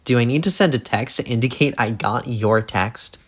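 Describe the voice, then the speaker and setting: A woman's voice: rumbling low, moderate pace, a little hiss or hum. A woman playing a brash, dismissive teenage alien troll girl in an audio drama, flippant, impatient and sarcastic. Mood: bored, dismissive.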